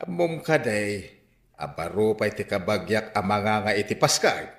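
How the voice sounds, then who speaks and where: A man's voice: fairly low pitch, expressive, measured pace, fairly bright tone. A man playing a talkative fellow in an Ilocano radio comedy-drama, with an Ilocano accent. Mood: gossipy. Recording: roomy studio echo.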